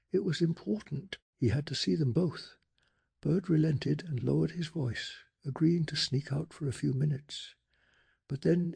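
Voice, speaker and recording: A man softly narrating a bedtime story in ASMR style, hushed and calming, with a breathy, gravelly voice. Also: on the high side.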